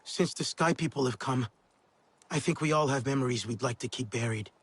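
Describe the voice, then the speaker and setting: A man playing a steady, earnest young alien hero in a video game, speaking directly. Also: mid-range voice, brisk, breathy edge, close to an everyday voice. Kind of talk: video game cutscene acting.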